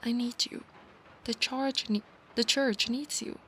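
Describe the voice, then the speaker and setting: A woman's voice: on the high side, fairly even intonation, slow, fairly bright tone. Woman playing a proud Italian-accented centaur knight, noisy ambience. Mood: pleading. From Italy. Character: proud, obsessive, battle-hungry female centaur knight. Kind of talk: ASMR roleplay spoken to the listener. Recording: clear background noise, ambience.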